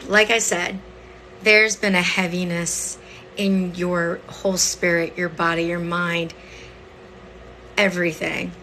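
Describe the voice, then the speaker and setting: Bright, slightly hoarse low-pitched woman. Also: slight background noise, poor audio. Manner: conversational, explanatory, frequent "okay?" and "right?" check-ins. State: voice partly lost, hoarse. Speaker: tarot reader hosting a livestream. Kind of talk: casual live tarot reading, chatting with viewers.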